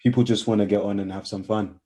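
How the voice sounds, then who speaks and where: A man's voice: fairly low pitch, steady tone, quick pace, mellow, natural voice. A man playing a kindly, polite wedding guest who is easily embarrassed, in naturalistic radio play dialogue with a hesitant, conversational manner. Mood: easygoing.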